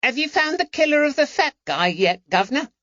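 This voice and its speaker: High-pitched cheeky street urchin boy. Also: very animated, a little fast, bright, forceful tone, dry recording, highs cut off, full character voice. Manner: colloquial street slang, bargaining. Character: cheeky young street urchin boy, road sweeper, eager.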